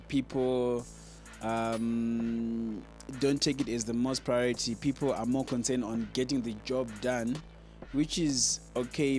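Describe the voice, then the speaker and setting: Casting: male presenter, mid-pitched voice over music, earnest informal tutorial delivery with halting repetitions. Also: darkish tone. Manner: halting, repeats words as he explains. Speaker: sound engineer and video host giving advice. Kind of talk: informal explainer to camera.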